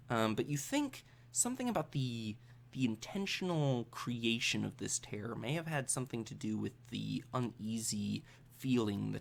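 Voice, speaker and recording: A man runs a tabletop role-playing game as game master. His voice has a heavy creak at an ordinary male pitch. He improvises the narration haltingly, thinking aloud as he goes. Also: a bit bright.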